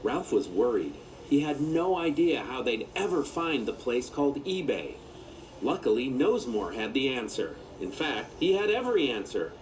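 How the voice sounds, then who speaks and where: A man narrating a children's storybook from a script in an expressive storytelling manner. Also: medium pitch, normal speed, a little hiss or hum, low-quality recording.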